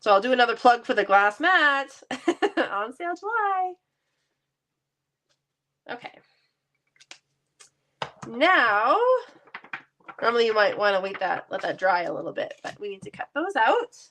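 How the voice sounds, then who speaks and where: A woman's voice: a little high, big pitch swings, measured pace, pauses often, sharp tone, a little hiss or hum. A woman with a stuffy cold voice, chatting casually and laughing lightly as she demonstrates card making in her basement craft room. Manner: chatty, laughs often, self-deprecating.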